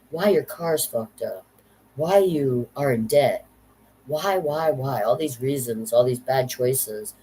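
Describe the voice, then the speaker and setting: A woman's voice: rumbling low, darkish tone, a little hiss or hum, low-quality recording. A woman in her fifties delivering an unscripted rant to camera, blunt and profane, circling back over the same points with sardonic humor. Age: 50s. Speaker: vlogger.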